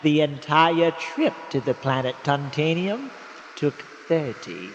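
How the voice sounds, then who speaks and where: A man's voice: fairly high pitch, lively intonation, slow pace, dull audio. A man narrating a scripted radio drama as its storyteller. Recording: long reverb with noisy background ambience.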